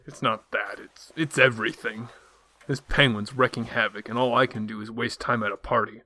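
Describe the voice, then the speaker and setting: A man's voice: medium pitch, steady tone, normal speed, sharp tone. A man playing a rich playboy who is secretly a brooding vigilante in a radio drama. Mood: frustrated, defensive.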